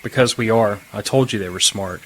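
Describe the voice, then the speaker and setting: An actor playing a shrewd, steady military commander in an audio drama, giving terse, authoritative orders. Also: male voice, fairly low pitch, a little fast, a little hiss or hum, natural voice, rough recording. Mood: calm command. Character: shrewd, steady male military commander in post-disaster America. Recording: loud dramatic music underneath.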